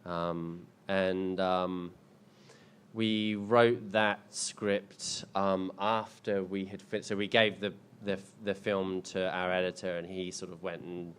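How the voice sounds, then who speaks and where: Deep-voiced man, rambling. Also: level tone, slow pace, a bit bright, clear, resonant tone. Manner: rambling, hesitant, many ums and restarts. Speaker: filmmaker answering audience questions. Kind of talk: casual panel Q&A. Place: indoor screening event.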